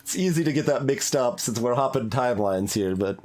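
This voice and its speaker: Male let's play streamer, mid-range voice, casual and halting, musing aloud. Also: mid-range voice, animated, darkish tone. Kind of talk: casual live game commentary.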